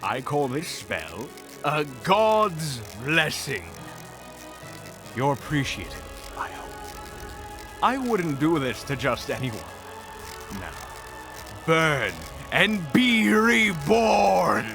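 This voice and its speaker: A man's voice: a little high, very animated, drawn-out words, gravelly, long pauses, music in the background, heavily acted. A man playing an arrogant, impatient villain wizard with a smug, theatrical manner in an audio roleplay. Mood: gloating, menacing.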